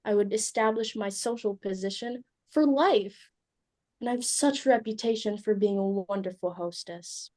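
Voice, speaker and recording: A woman's voice: mid-range voice, moderate pace, dark tone, lightly acted. A woman playing a fussy, domineering society hostess and mother in a dramatic reading of a stage play, exclamatory and imperious. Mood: gushing, wistful.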